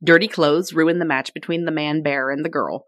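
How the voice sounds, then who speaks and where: Lower-voiced woman, dry sound. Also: fairly even intonation, moderate pace, dry recording. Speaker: podcast co-host. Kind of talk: casual explanatory discussion.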